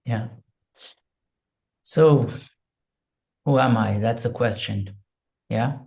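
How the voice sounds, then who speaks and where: A man from Israel with an Israeli accent, speaking in English as an interview guest, soft and hesitant, searching for words and leading a quiet prayer. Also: mid-range voice, drawn-out words, gravelly. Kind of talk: slow reflective interview talk with a spoken prayer.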